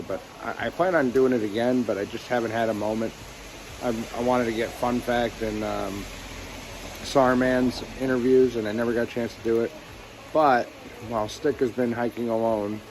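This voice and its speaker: A man with a fairly low voice records a casual hiking vlog outdoors. He rambles and thinks aloud, with clear traffic and outdoor noise around him. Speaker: hiking vlogger. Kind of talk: casual video diary talk. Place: outdoors by a road or trail.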